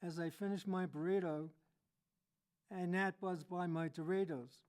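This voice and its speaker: A man's voice: high voice, steady tone, a little slow, a little mellow. A man reading his own light, rhyming poem. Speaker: poet.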